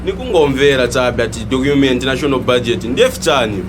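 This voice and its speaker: A man's voice: medium pitch, expressive, fairly quick, close to an everyday voice. Man playing a slangy Zambian minibus conductor, noisy street. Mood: curious, defensive. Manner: casual, slangy, questioning. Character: cheeky, curious young male minibus conductor. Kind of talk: acted audio drama dialogue in code-switched street talk. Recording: noisy street and vehicle background.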